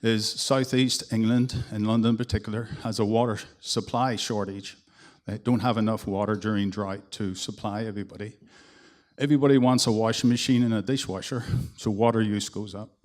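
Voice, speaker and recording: A man with a Northern Irish accent giving a conference presentation as an engineer, in a relaxed, conversational way with some restarts. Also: lowish voice, fairly quick. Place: conference hall.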